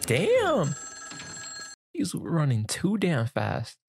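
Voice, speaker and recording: A man's voice: on the low side, brisk, creaky, soft background music. A man in his twenties giving casual, slangy live commentary on a cartoon, full of exclamatory asides, into a close microphone in a small room. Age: 20s. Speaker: reaction video host. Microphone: close microphone on a boom arm, with headphones.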